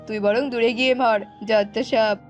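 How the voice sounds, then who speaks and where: A woman's voice: a little high, steady tone, a little slow, dull audio, faint music behind, close to an everyday voice. A woman voicing a selfish male crow husband in a cartoon, scolding, impatient and dismissive. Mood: scornful.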